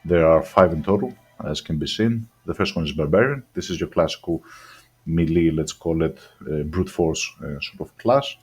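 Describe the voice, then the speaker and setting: Low husky man with a mixed accent. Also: normal speed, soft background music. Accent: mixed, partly Greek-sounding. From Australia. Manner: rambling, hesitant, many ums and restarts. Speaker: gaming channel host. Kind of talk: casual game review talk.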